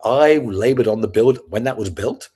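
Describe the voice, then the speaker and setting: Husky-voiced Scottish man with a neutral British accent. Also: medium pitch, normal speed. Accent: neutral British, not Scottish-sounding. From Scotland. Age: 50s. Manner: lively storyteller acting out his past self in quotes. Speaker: podcast guest, sales founder. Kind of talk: animated storytelling in casual chat.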